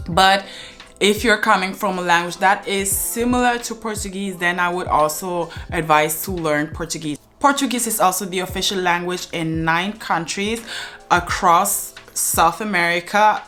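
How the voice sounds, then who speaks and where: A woman's voice: fairly low pitch, normal speed, fairly bright tone, soft background music. A young woman giving earnest, chatty advice to the camera from her living room, with many "uh" fillers. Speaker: language vlogger.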